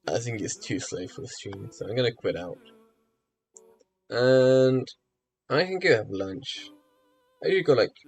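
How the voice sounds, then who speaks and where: A man chatting casually on a live stream, rambling with lots of fillers and restarts, his voice a little rough as if from early mornings. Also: fairly low pitch. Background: faint music.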